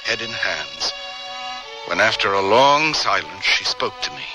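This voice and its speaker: An actor playing a grieving, devoted scientist husband, solemn and theatrical in old-time radio drama style. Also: male voice, lowish voice, huge pitch swings, music in the background, low-quality recording. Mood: solemn recollection. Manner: theatrical, halting, emotional delivery.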